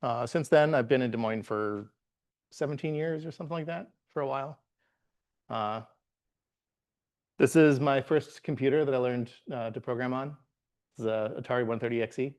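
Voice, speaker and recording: Relaxed man presenting with lots of fillers. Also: medium pitch. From Bahamas. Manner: relaxed, halting, many "uh" fillers and restarts. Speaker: guest presenter, software engineer. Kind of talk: live tech meetup talk with slides.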